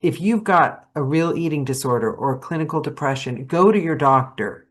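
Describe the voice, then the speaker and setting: A woman hosting a podcast, chatting animatedly with her guest, with quick asides and self-corrections. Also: low-pitched, animated. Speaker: podcast host. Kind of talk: casual interview chat.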